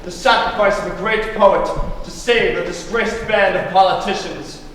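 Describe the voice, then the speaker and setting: A young stage actor playing an indignant Victorian politician who challenges the government. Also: male voice, high voice, moderate pace, a bit bright, slight background noise. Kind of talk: stage play performance. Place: theater stage. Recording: echoey theater from far off.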